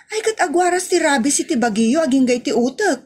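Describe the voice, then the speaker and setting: A woman voicing an excitable neighbour with an Ilocano accent, exclaiming urgently in a comedy audio drama. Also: high-pitched, a little fast. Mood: alarmed.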